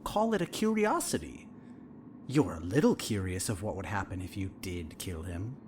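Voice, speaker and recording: Guy acting as creepy calm shrink, needling and manipulative, voice swoops all over, bit of noise, audio drama. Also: low-pitched. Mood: coolly probing. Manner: measured, clinical, needling. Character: calm, probing, sinister male therapist, coolly manipulative.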